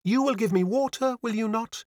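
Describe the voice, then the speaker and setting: A man's voice: very high-pitched, normal speed, a little mellow, no room sound, studio quality, voice pushed up. A man voicing a spirited, carefree young French lady in a storybook, with a mincing French accent and theatrical, poetic manner. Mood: exuberant, dreamy.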